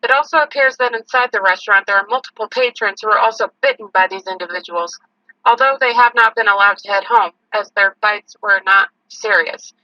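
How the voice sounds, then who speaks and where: Woman playing a bright-voiced field news reporter. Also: mid-range voice, brisk, dry recording, lo-fi sound, lightly acted. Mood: serious. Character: female field news reporter, serious and matter-of-fact. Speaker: on-scene reporter. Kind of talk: scripted live news report.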